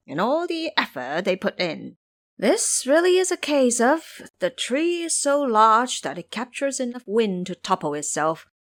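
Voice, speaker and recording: A woman plays a proud male monkey trickster from an audio-drama legend. He is aggrieved and hot-tempered, declaiming in a high, highly animated voice. The sound is close and dry. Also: no room sound. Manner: declamatory, theatrical.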